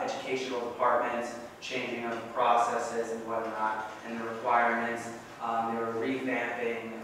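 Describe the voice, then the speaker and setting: A young man reads out a meeting report in a level, flat voice, stopping often on ums and uhs. He is picked up from far off in an echoey meeting room with a long tail of reverb and faint hiss. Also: mid-range voice. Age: young adult. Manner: halting, many ums and uhs. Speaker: student government president. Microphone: far camera.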